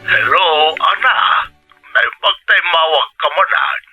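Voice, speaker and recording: A Filipino man playing an aging, gently stubborn father in a radio drama, heard through a telephone filter. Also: sits high, animated, takes it slowly, husky, faint music behind, exaggerated voice. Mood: sheepish. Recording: thin, harsh telephone line.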